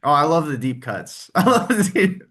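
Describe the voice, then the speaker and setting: A man's voice: on the high side, big pitch swings, moderate pace, a bit bright, slightly rough. Chatty, easygoing man. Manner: friendly, rambling, laughs easily. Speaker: guest, tribute performer and engineer. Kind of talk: casual interview chat.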